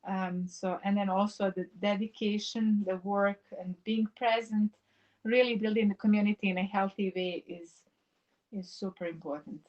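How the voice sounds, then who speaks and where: A woman's voice: mid-range voice, steady tone. Brief: woman, reflective live-stream painter, rambling thoughtful delivery, dull lo-fi stream audio. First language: Serbo-Croatian. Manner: musing, reflective, wandering sentences with many pauses. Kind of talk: casual live-stream chat while painting. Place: home in the mountains.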